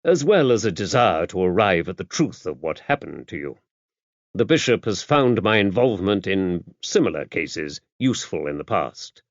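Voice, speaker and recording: A composed investigator, played by a male narrator in an audiobook mystery. He speaks in a fairly low voice, evenly and tactfully, probing with measured politeness. The recording is dry and a touch dull. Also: no echo. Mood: even, guarded. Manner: even, measured, polite questioning. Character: calm, tactful male investigator, quietly probing and self-possessed.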